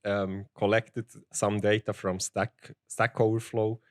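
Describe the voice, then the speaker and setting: A man's voice: fairly low pitch, level tone, measured pace, dry recording, clean studio sound. A man from Switzerland working as a field application engineer, presenting at a tech conference in a plain, hesitant way with ums and restarts. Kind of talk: technical conference talk. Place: conference hall.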